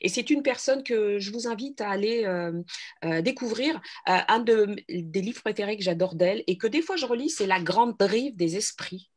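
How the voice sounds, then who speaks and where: A woman's voice: mid-range voice, lively intonation, moderate pace. A middle-aged woman from France, a guest in a radio interview, speaking earnestly with frequent restarts and hesitations.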